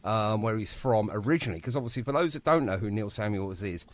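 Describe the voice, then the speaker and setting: A man's voice: fairly low pitch, fast. A man hosting a casual, jokey radio interview, effusive and often repeating himself. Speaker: radio show host.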